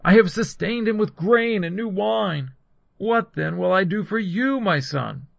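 A man's voice: high voice, animated, a little slow, gravelly, no room sound, pitched above the performer's own voice, heavily acted. A man voicing an aged, solemn father who declaims to his sons as in a scripture story. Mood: grave, agitated.

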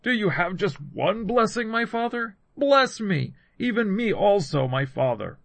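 A man's voice: sits high, very animated, a little slow, fairly bright tone, breathy edge, dry recording, pitched above the performer's own voice, heavily acted. A man voicing a wronged elder son, a bitter hunter pleading impassionedly in a scripture story.

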